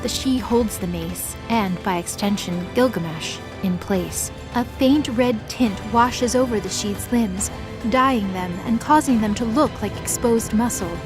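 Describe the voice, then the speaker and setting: A woman's voice: on the high side, unhurried, over music, low-quality recording. A woman giving dramatic scripted narration for a mythology audio story.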